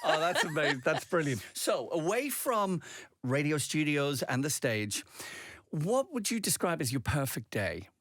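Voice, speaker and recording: A man's voice: a little high, very animated, unhurried, fairly bright tone, no room sound. A man chatting casually as a radio interviewer, with an enthusiastic, gushing manner. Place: radio studio.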